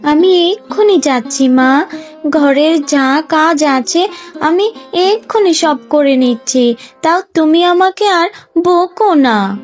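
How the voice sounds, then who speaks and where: Woman as a meek, high-voiced little girl. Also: animated, takes it slowly, soft background music. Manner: pleading, meek, plaintive. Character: innocent, obedient, mistreated little village girl. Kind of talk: Bengali cartoon voice acting.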